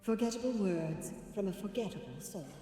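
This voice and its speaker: A woman's voice: mid-range voice, animated, dark tone, lightly acted. Woman acting solemn goddess in cavernous reverb. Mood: stern, defiant. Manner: portentous, measured proclamations. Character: solemn celestial goddess, grave and resolute. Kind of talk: scripted game voice lines. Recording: echoey long reverb over faint music.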